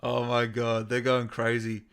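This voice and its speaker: A man's voice: mid-range voice, completely flat delivery, unhurried, vocal fry, a bit bright, clean tone. A man hosting a casual livestream interview, chatting and reading out comments from viewers. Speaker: interview host.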